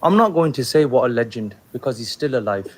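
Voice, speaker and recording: A man from the UK calling into a panel, speaking in a friendly, measured way. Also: medium pitch, animated. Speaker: caller.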